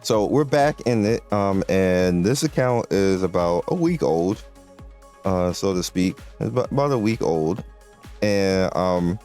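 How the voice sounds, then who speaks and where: A young man giving casual gameplay commentary, rambling and excitable, often restarting his sentences. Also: sits low, measured pace, clean tone, soft background music. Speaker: gaming video creator.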